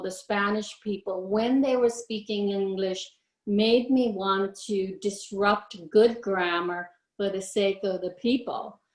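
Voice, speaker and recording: A woman in her fifties speaks in an unhurried, reflective way, often stopping to search for the right word. Her voice sits at a middle pitch. The sound is roomy, as if she's in a bare room on a video call. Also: darkish tone. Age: 50s. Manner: reflective, searching for words with frequent ums. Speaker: invited artist answering questions. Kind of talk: thoughtful unscripted explanation. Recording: roomy echo.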